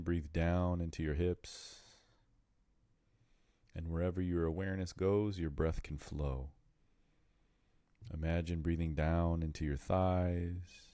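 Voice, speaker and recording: A man with an extremely low, mellow voice guides a meditation. He speaks in soothing, measured phrases and leaves deliberate pauses. The sound is dry and close, with no room around it. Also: dry recording.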